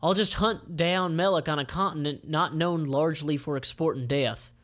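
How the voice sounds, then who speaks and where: A man's voice: fairly high pitch, fairly even intonation, normal speed, a bit bright, voice pushed up, natural voice. A man voicing a sarcastic, defiant, exasperated woman from a fantasy comic read aloud.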